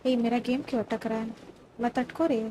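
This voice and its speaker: A woman's voice: a little high, flat delivery, racing, warm tone, slight background noise. A woman with an Indian accent giving casual live gaming commentary as a streamer, switching between Hindi and English. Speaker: game streamer.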